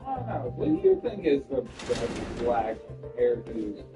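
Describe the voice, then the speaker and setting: A man's voice: medium pitch, huge pitch swings, unhurried, distant mic, over music. A young man joking and swearing in casual banter with a friend who is gaming. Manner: loud, joking, profane banter. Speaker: friend watching the game. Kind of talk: casual chat.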